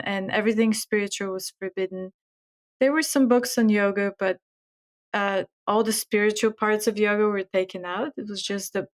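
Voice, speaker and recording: A middle-aged woman from Bulgaria with a Bulgarian accent, a guest telling her story earnestly in an interview and laughing often. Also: medium pitch. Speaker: guest chef and author.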